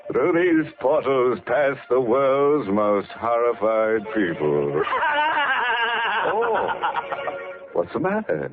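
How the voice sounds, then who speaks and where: An actor playing a gleefully macabre, punning horror show host in a theatrical, mock-sinister 1940s radio manner. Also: male voice, on the low side. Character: ghoulish, gleefully macabre male host at a creaking door. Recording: tinny old radio recording, organ music behind.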